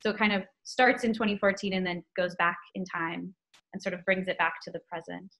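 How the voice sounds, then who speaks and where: A woman's voice: fairly low pitch, steady tone, brisk, fairly bright tone, low-quality recording. A young woman, a writer and professor, talking in an eager, casual way on a video call from home. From United States. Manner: eager, casual, uses "like" often. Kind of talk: conversation over video call. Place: home room.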